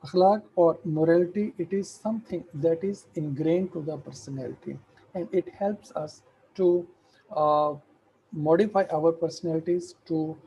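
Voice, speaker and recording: A middle-aged man, an Urdu speaker, giving an academic lecture in a slow, measured way with hesitant fillers. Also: fairly high pitch, vocal fry, dark tone, slight background noise, rough recording.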